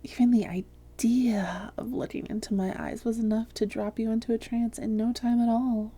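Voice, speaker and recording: A woman playing a haughty, seductive vampire hypnotist, breathy and often whispering, with a smug, teasing, slow and coaxing delivery in an intimate roleplay audio. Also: fairly high pitch, mellow. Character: haughty, seductive female vampire hypnotist toying with a captured hunter.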